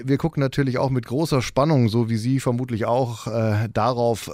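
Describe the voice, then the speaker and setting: Crisp dry-voiced podcast host. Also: male voice, medium pitch, darkish tone, no room sound. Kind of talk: podcast interview.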